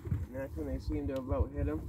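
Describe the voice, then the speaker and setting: A man in his thirties filming a casual fishing vlog from a small boat on a lake, loudly and eagerly coaching his kids as they reel in fish. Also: medium pitch, level tone, fast talker. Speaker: fishing vlogger and father. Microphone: action camera mounted on the boat. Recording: outdoor wind and water noise.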